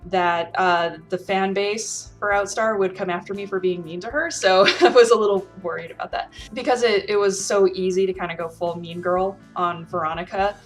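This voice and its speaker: A woman's voice: medium pitch, steady tone, normal speed, few pauses, soft background music. A woman casually recounting her time as a player on a roleplay show, informal and thinking aloud as she goes. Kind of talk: casual recollection of roleplaying behind the scenes.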